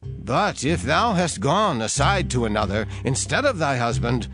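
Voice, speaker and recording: Casting: a formal, solemn priest, mid-range voice, dramatized scripture with music behind. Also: male voice, mid-range voice, sing-song, a little slow, a bit bright, low-quality recording. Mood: grave. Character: solemn male priest pronouncing an oath. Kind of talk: dramatized Bible reading.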